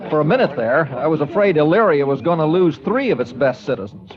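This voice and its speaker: An actor playing a folksy small-town newspaper editor in a 1950s radio drama, with easygoing, drawling talk. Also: male voice, on the high side, lively intonation, brisk, music in the background, natural voice. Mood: warm. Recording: dull old radio transcription.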